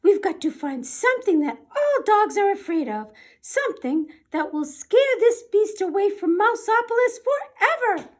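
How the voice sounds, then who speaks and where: A woman's voice: extremely high pitch, very animated, normal speed, few pauses, pitched above the performer's own voice. A woman voicing an earnest, scholarly mouse professor who speaks emphatically in a children's storybook. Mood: earnest, urgent. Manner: emphatic, declarative. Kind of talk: children's storybook read-aloud.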